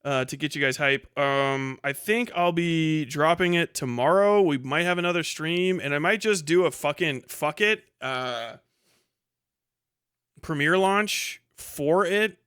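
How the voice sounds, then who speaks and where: Male livestream host, crisp and punchy, slightly high register, casual, sweary, sardonic delivery. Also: unhurried, fairly bright tone, dry recording, clean studio sound. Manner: profane, sardonic. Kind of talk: casual livestream chat, reading viewer comments.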